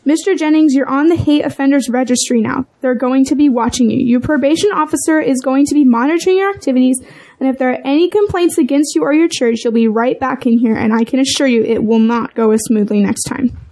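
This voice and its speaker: Woman acting as stern defense lawyer, high pitch, mellow tone, firm, admonishing, audio drama. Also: fast. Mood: stern warning.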